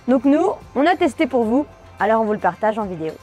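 A woman in her thirties, from France, hosts her family's travel vlog and speaks directly to viewers. Her voice sits a little high, with quiet music under it. She explains things patiently while she stands outdoors in a backyard, filmed in a medium shot. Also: very animated, fast talker. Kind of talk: casual chat to camera. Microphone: medium shot from a camera on a tripod.

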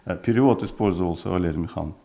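A man in his forties, first language Uzbek, talks as a guest in a small television studio. His voice sits low and sounds dark and mellow. He speaks thoughtfully, pausing with fillers and restarting his phrases. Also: gravelly. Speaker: project developer guest. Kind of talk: studio interview. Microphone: desk mic on stand.

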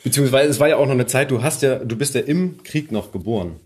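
A young man with a medium-pitched voice acts as interviewer on a podcast. He talks casually and conversationally, putting questions to his guest. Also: animated, fast talker, darkish tone.

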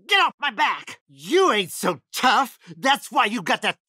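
An actor playing a giddy, sadistic young backwoods killer in a video game, with a Texan accent, a high raspy voice and an excitable, folksy stammer. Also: male voice, a little slow, no room sound, studio quality, full character voice. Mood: whiny, defensive. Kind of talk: video game character voice lines.

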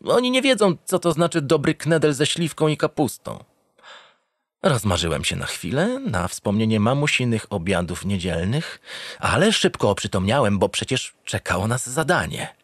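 Cocky, animated man narrating a comedy. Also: mid-range voice, moderate pace, dry recording, clean studio sound. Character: cheerful, cocky, dim-witted male spaceship captain. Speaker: actor narrating an audio drama. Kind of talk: comedic first-person scripted narration.

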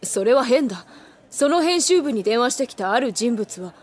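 Sharp schoolgirl, highish voice. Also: expressive, moderate pace. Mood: questioning. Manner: plain-spoken, thoughtful. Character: sharp, level-headed older schoolgirl. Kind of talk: anime audio drama acting.

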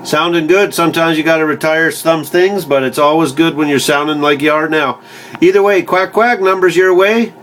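A man's voice: fairly high pitch, steady tone, moderate pace, fairly bright tone. A man from Canada chatting casually as a CB radio operator, folksy, with call signs and stock radio phrases. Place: indoors beside a CB radio set.

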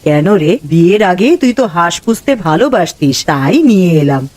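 A woman voicing a kindly elderly village grandmother in a cartoon, homely and expressive. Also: fairly low pitch, brisk, dry recording. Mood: fond. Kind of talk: animated cartoon dialogue.

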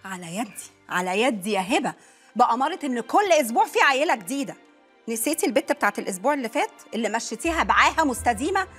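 An actress playing a calm, reasonable neighbor woman who coaxes and lectures in an Egyptian accent. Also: high voice, very fast, husky, faint music behind, full character voice. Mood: coaxing. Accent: Egyptian Arabic. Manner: coaxing, lecturing. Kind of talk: comedy sketch.

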